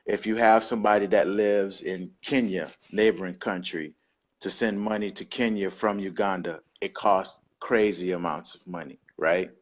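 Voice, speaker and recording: A man from Georgia with a Southern accent, a guest telling his story in an easygoing, upbeat way on a video call. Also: deep voice. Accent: Southern American. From Georgia, USA. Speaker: guest, crypto device distributor. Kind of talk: casual interview over video call.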